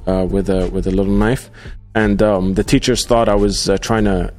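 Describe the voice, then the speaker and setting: A man hosting a live podcast, reading out viewer comments in a casual, halting way with many fillers. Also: fairly low pitch, steady tone, darkish tone, faint music behind. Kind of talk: casual livestream chat.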